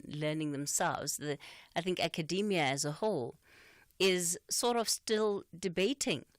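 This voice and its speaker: Lady hosting talk radio, lowish voice, dry studio sound, chatty questions. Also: no echo. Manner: conversational, softening fillers. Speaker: radio talk show host. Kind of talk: radio interview.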